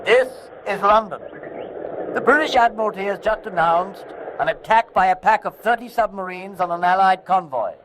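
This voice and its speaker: A man acting a wartime London newsreader with a high voice. He reads in a clipped, official 1940s radio manner, with faint noise in the recording. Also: normal speed, natural voice. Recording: noisy old radio drama broadcast.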